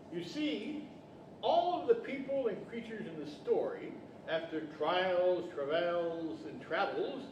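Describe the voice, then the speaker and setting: A man in his sixties playing a formal, bookish guide who explains in a measured, theatrical way, in 1940s radio-theater style. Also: sits high, highs cut off. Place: large library hall, live stage performance. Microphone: stand-mounted condenser microphone. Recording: echoey hall, distant mic, background noise.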